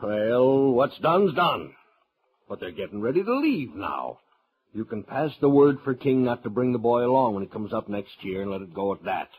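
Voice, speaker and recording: Self-important American townsman, lively swinging pitch, indignant, blustering, old-time radio Western. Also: moderate pace, breathy edge, phone-quality sound. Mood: blustering appeal. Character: pompous, self-serving townsman pleading with the marshal. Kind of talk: 1950s radio Western acting. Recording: vintage 1950s radio recording.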